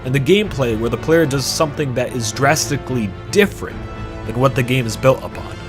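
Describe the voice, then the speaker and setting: A man's voice: medium pitch, brisk, over music. A man narrating a scripted video essay about games in an emphatic, conversational way with sarcastic asides.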